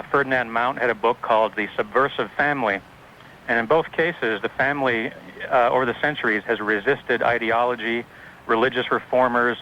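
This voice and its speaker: A man's voice: mid-range voice, pushed and bright. An American man calling in to a radio show with opinionated commentary, bookish and formal in his phrasing. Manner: bookish, formal wording in measured lists. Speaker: radio caller. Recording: dull, noisy radio phone-in.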